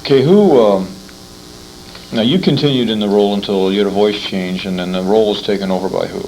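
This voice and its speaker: A man's voice: fairly low pitch, a little fast, darkish tone, slight background noise, poor audio. A man speaking informally as the interviewer in a conversation.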